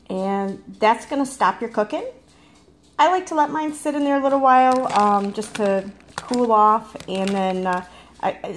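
A woman chatting casually as she demonstrates cooking, rambling and correcting herself, filmed on a phone in her home kitchen. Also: mid-range voice. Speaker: farm vlogger. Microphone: phone held in hand.

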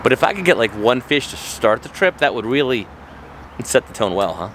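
A relaxed, chatty man in his thirties with a drawl talks to an action camera held at arm's length. He sounds upbeat and easygoing, dropping fillers as he goes. Faint outdoor noise sits behind him. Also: mid-range voice, brisk. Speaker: fishing vlogger. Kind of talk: casual vlog talk to camera. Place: outdoors by lakes and creeks. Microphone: handheld action camera at arm's length.

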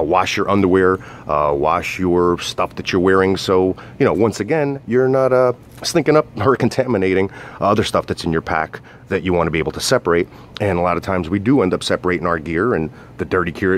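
Male gear reviewer, low register, informal hesitant how-to delivery, faint ambient noise behind. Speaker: product reviewer and outdoor coach. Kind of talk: casual product demonstration and review.

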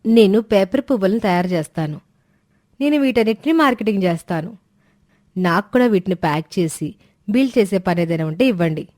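A woman's voice: mid-range voice, animated, a little fast, darkish tone, no echo, clean studio sound, natural voice. A woman voicing a helpful, enterprising young daughter-in-law in a family story, confident and practical. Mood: volunteering.

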